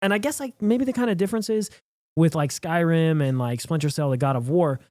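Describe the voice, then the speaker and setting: A man's voice: fairly high pitch, brisk, darkish tone, no echo, studio quality. A man co-hosting a podcast, debating casually and enthusiastically. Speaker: podcast co-host.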